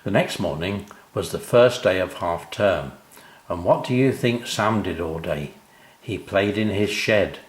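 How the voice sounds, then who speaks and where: An older man narrating a children's story, unhurried and expressive, speaking directly to young listeners. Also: low-pitched, reverberant.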